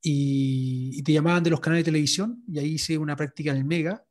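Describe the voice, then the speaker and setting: A sports journalist being interviewed, telling his story in a relaxed way, with many fillers and restarts. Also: a little high, quick pace. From Chile. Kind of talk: interview answers.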